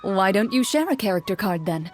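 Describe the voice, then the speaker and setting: A poised young woman leading a game played by an actress. She is polite and orderly, with a medium-pitched, expressive voice in video game style. Faint music plays underneath. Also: fairly quick, close to an everyday voice. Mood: composed, helpful.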